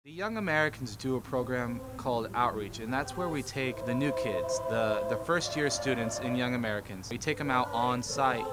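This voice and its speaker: A man's voice: mid-range voice, moderate pace, hardly any pauses, very noisy. Young man explaining steadily. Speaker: group member. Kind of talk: documentary interview.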